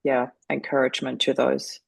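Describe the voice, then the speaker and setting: Gravelly-voiced woman. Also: a bass voice, little pitch movement, moderate pace, no room sound. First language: German. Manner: earnest and open, often restarts her sentences. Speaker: guest. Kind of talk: candid interview conversation.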